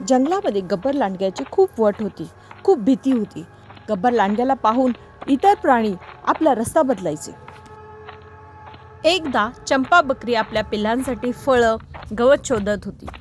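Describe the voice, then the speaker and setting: A woman narrates a children's story. Her voice sits fairly high, and soft music plays behind her. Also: lively intonation, moderate pace.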